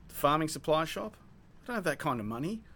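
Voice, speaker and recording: A man voicing a brash, stubborn farmer in his late thirties who swears and pushes, raised and loud against his own voice. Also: animated, fairly quick, slight background noise, natural voice. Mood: frustrated. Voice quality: higher, louder, blustery delivery. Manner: swearing, cocky, pushy.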